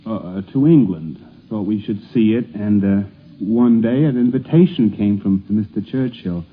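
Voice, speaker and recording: A man's voice: on the low side, animated, moderate pace, breathy edge. Man telling anecdotes, tinny old radio. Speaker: interviewee recalling family life. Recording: tinny, faintly noisy 1950s radio recording.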